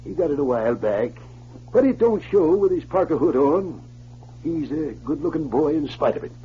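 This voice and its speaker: An actor playing a kindly, trusting old prospector uncle with a folksy, hesitant delivery in 1940s radio style. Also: male voice, fairly high pitch, expressive, normal speed, close to an everyday voice. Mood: warm, curious. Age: older. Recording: muffled, hissy old radio recording.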